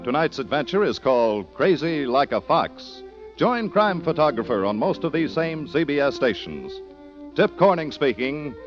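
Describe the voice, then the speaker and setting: A man's voice: fairly high pitch, very animated, normal speed. An American man announcing formally in a polished 1940s radio style. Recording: muffled old radio, faint music.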